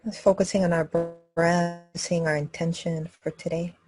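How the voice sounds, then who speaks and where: A woman meditation teacher guiding a group gently and calmly, speaking in short, unhurried phrases with long pauses. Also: lowish voice, faint music behind. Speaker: meditation teacher leading a live group session. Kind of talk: guided meditation, slow and gentle instruction.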